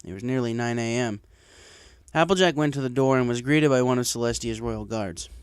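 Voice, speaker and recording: A man's voice: medium pitch, brisk, clean tone, no echo, studio quality. A man reading a story aloud as scripted narration. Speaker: story reader. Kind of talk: scripted narration of fan fiction.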